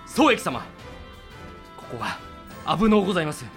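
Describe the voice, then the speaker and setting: An actor playing a loyal, urgent merchant retainer in a Japanese period audio drama, speaking deferential archaic language with emphasis. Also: male voice, fairly high pitch, big pitch swings, moderate pace, soft background music. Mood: pressing.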